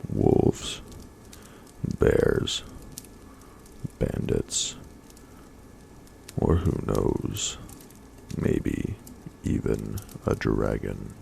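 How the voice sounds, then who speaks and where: Soft-spoken male ASMR storyteller; very deep, gravelly, slow read. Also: slight background noise.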